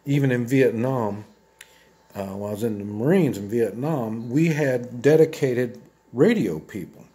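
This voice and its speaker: A man in his seventies talking casually and plainly to viewers as he shows gear, halting and rambling, often repeating himself. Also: medium pitch, dark tone, breathy edge. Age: 70s. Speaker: video host.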